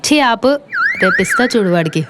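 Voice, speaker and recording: A woman's voice: fairly high pitch, huge pitch swings, brisk. A woman playing a wry, teasing young college student in a comedy audio drama. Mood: annoyed. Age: young adult.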